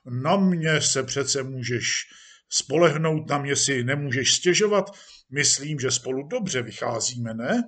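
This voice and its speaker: A man's voice: mid-range voice, expressive, a bit bright. A man voicing a pompous, jovial, patronizing police chief in an audiobook story, with a condescending, chummy manner. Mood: hearty, patronizing. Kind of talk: acted audiobook dialogue.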